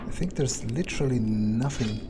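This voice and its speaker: A man playing a role-playing game in character, thinking aloud in a halting, musing mutter as his vampire character. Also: medium pitch, background noise.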